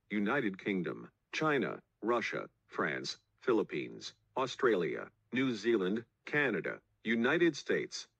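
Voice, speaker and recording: A man's voice: low voice, creaky, breathy edge, no echo, dull audio. A man reading a scripted emergency news bulletin as an announcer in a mock broadcast. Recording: loud music over the voice. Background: loud music.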